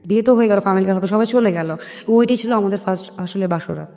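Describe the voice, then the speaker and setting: A woman's voice: medium pitch, fast talker. A woman with a Bangladeshi accent telling her personal story in an interview, acting out conversations and mixing in some English words. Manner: animated retelling with quoted family dialogue, English words mixed in. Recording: long hall reverb, music underneath.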